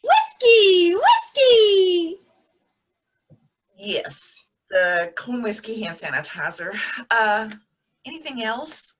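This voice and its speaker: A woman playing a scatterbrained, flustered theater board president in a farcical comedy, with a husky voice and halting delivery. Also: on the high side, wildly swooping pitch, full character voice. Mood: excited, flustered. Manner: dithering, halting. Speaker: actor in online comedy.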